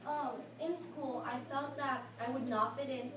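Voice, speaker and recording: Filipino-accented teen girl, high voice, earnest prepared speech with fillers, in an echoey, noisy room some way from the mic. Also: fairly even intonation, distant mic. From Philippines. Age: teens. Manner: earnest, halting with fillers, stressing key words. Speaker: high school student speaker.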